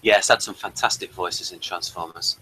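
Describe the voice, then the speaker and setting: A man with a fairly low but very bright, crisp-edged voice hosts a casual review chat. He rambles a little and slips in self-conscious asides as he goes. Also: fairly even intonation, normal speed, a little hiss or hum, rough recording. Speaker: podcast host reading an episode summary and discussing it.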